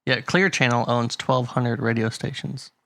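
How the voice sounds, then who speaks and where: A man co-hosts a relaxed podcast conversation, reading out statistics and chuckling along the way. His voice is bright at a medium pitch, recorded dry and close with no room sound. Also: normal speed, creaky, dry recording. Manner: reads figures aloud, laughs often. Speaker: podcast co-host. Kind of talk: casual chat.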